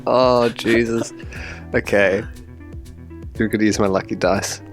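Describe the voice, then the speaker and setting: A man's voice: fairly low pitch, fairly even intonation, takes it slowly. A man with a New Zealand accent chatting casually as a tabletop game player, hesitant and thinking aloud. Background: faint music.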